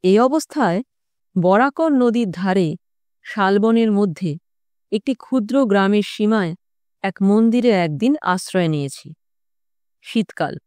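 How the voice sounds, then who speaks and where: Female reader as worldly-wise male tantric storyteller, reflective, mid pitch, dry audiobook sound. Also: a little mellow, no echo, lightly acted. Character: male tantric occultist recounting his wandering youth.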